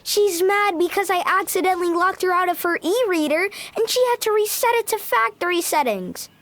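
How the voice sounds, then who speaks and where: Very high, cheeky little kid. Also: female voice, animated, brisk, fairly bright tone, dry recording. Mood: cheeky, unrepentant. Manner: blunt, matter-of-fact, cheeky. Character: blunt, cheeky young island child.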